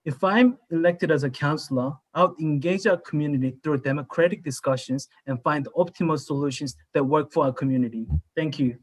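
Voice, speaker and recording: A man whose first language is Korean, a council candidate giving a formal, measured statement at a town hall. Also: fairly high pitch. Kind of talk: prepared campaign statement.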